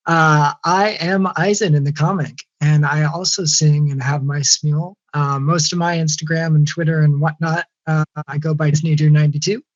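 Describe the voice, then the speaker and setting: Goofy man, mid pitch, lots of uhs, dry dull sound. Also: steady tone, normal speed, few pauses, no echo. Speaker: voice actor and singer. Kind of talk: casual podcast chat.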